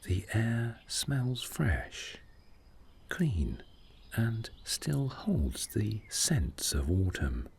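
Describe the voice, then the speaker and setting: A man narrating a scripted sleep meditation story in a soothing, gentle, unhurried way, with breathy phrase endings. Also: fairly low pitch, huge pitch swings.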